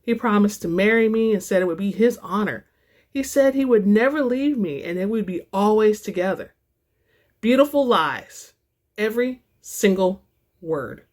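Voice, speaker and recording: A woman reading romance fiction aloud as a host, with an expressive, theatrical delivery. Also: mid-range voice, moderate pace. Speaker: audiobook-style reader and channel host.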